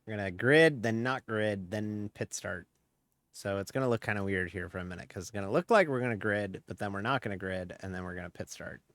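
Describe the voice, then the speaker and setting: A man's voice: on the low side, brisk, fairly bright tone, dry recording. A man streaming a sim race who talks casually and at length, rambling and chuckling at himself as he drives. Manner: chatty, rambling, chuckles often, self-mocking. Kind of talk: casual live-stream commentary while racing.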